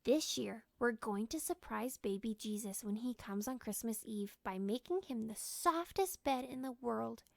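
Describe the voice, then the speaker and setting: An encouraging young mother from a children's storybook, voiced by a woman reader, speaks in a highish voice with a touch of creak. She is earnest and gently persuasive. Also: measured pace, hardly any pauses, no room sound. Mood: eager, conspiratorial. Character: warm, encouraging young mother explaining a secret game.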